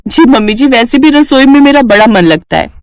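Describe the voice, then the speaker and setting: Woman acting an eager, sweet young wife, higher voice. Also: expressive, brisk, no room sound, clean studio sound. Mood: dutiful, cheerful. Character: eager, sweet young daughter-in-law, health-food enthusiast. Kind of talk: animated Hindi story dialogue.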